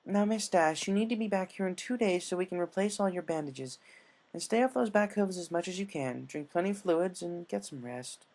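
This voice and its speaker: Man as a brisk, higher-voiced nurse pony. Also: expressive, a little mellow. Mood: businesslike. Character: brisk, caring female nurse pony giving instructions.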